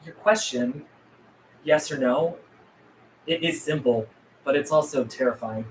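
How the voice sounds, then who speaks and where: A man's voice: a little high, very animated, a little slow. Man playing an earnest survivor, distant and roomy. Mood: earnest, apologetic. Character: Asa, guarded, earnest man, survivor in zombie apocalypse. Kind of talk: script table read. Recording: roomy, distant mic, faint noise.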